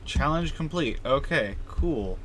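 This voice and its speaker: A man's voice: on the high side, expressive, slow, fairly bright tone. A man giving casual live video game commentary as a streamer, talking to himself in a self-deprecating, mildly sweary way. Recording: clear keyboard and typing noise.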